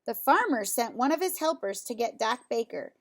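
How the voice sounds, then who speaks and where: Female storybook reader, higher register, expressive and emphatic delivery for a young audience. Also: normal speed, slight background noise. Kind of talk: read-aloud children's story with dramatic emphasis.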